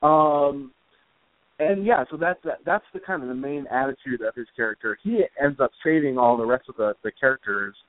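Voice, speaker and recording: Man talking haltingly over a phone-like line. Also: mid-range voice. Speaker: guest, comic book author. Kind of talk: casual interview answers. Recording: roomy, phone-like internet radio call.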